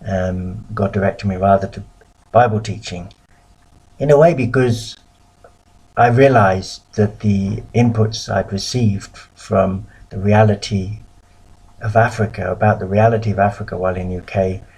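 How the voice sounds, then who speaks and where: Man from the UK, middle-aged, low husky voice, mellow tone, measured lecture with pauses. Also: fairly even intonation, low-quality recording. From United Kingdom. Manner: measured, pausing often with ums. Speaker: missionary lecturer. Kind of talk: recorded lecture presentation.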